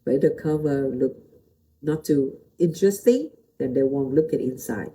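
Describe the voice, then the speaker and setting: A woman's voice: a bass voice, moderate pace, mellow. A middle-aged woman from Vietnam with a Vietnamese accent gives a motivational talk in simple non-native English, using short repeated phrases and questions to the listener. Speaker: host, motivational teacher and pastor.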